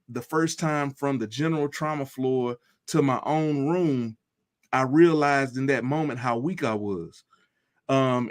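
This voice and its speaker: A man with a mid-pitched voice gives personal testimony as an interview guest. His delivery is earnest and deliberate, with a reflective way of laying out his thoughts. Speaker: guest telling his recovery story.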